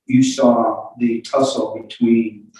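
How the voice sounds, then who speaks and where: A man's voice: medium pitch, flat delivery, takes it slowly, mellow. A man cross-examining a witness at a court hearing, careful but persistent, often repeating the start of his questions. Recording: very echoey room, distant mic.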